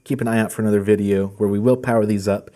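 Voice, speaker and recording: A man with a fairly low, mellow voice hosts a casual product unboxing. His tone is soft-edged and relaxed as he presents each item in an informal explanatory style. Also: rapid-fire. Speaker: host of a product channel.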